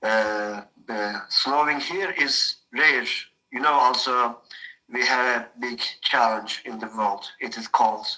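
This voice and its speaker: A young man from Turkey with a Turkish accent, chatting in English as a learner, pausing often with "uh" while he searches for words. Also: mid-range voice, steady tone, sharp tone, rough recording. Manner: halting, searching for words, frequent uh. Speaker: mechanical engineer practicing English. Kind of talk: casual conversation practice.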